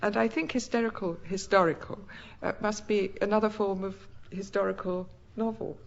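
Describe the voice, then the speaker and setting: Woman, British, creaky medium-pitched voice, witty quiz panellist, dull old recording. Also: steady tone, normal speed. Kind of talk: witty storytelling definitions on a panel game.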